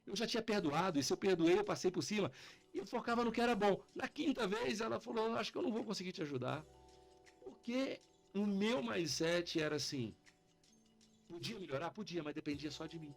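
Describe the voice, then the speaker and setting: A Brazilian man chats casually on a podcast, telling anecdotes in slangy, informal speech. His voice is fairly high and very lively in pitch, and he moves quickly as he acts out both sides of a conversation. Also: creaky, soft background music. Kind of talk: casual animated storytelling chat.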